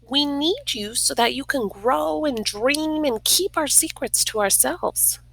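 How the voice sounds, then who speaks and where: A woman voicing gentle sister spirits in a storybook, speaking earnestly and affectionately. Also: high voice, a little slow, gravelly, no room sound, voice pushed up, full character voice, poor audio. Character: Day and Night, gentle sister spirits reconciling.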